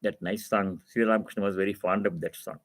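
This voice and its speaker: Man giving a measured spiritual discourse. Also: on the low side, steady tone. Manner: didactic, repeats phrases for emphasis. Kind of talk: spiritual discourse, reading and explaining scripture.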